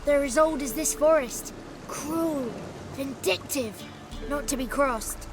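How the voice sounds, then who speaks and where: High-pitched cheeky little swamp creature. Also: female voice, slow pace, over music. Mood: ominous. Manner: florid wordplay, boastful. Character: cheeky, theatrical, talkative little swamp creature, male, childlike. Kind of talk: video game character acting.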